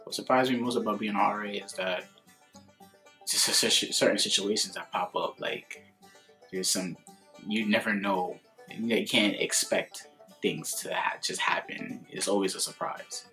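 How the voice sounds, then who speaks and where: A man's voice: fairly low pitch, a little slow, bright, forceful tone, faint music behind, low-quality recording. A young man from the Bronx, a college resident assistant, giving matter-of-fact interview answers. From the Bronx, New York. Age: young adult. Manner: matter-of-fact, a few restarts. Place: indoors before a plain grey backdrop.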